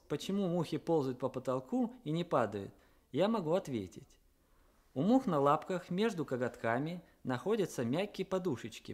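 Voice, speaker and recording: A man with a fairly high voice speaks as a guest scientist. He gives a prepared explanation for young listeners in measured, orderly phrases. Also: darkish tone. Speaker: guest expert, entomologist. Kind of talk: prepared educational talk for children.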